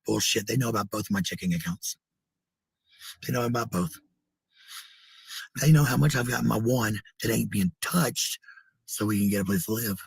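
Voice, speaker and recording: Husky, low-creaking man on a combative rant. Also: moderate pace, long pauses, no echo, rough recording. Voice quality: husky, raspy. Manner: combative, profane, repetitive. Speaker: parent speaking in a clip. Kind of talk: angry informal rant.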